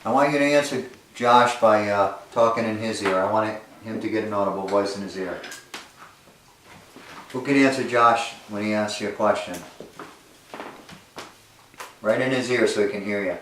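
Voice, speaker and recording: Low-voiced man coaxing spirits, echoey. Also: normal speed, pauses often, a bit bright. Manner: coaxing, speaks to spirits directly. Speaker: paranormal investigator. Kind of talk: casual ghost-hunting talk. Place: inside a house. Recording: echoey room from far off, faint noise.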